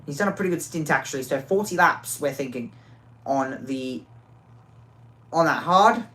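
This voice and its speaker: A woman commentating a racing game live, excitable, often repeating and restarting phrases, her voice worn out. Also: low voice, normal speed, breathy edge.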